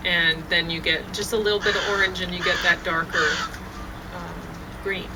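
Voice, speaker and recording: A woman's voice: fairly low pitch, steady tone, normal speed. A middle-aged woman chatting casually on an outdoor deck, rambling with many fillers and restarts. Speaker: vlogger. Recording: noisy outdoor recording. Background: birds and passing traffic.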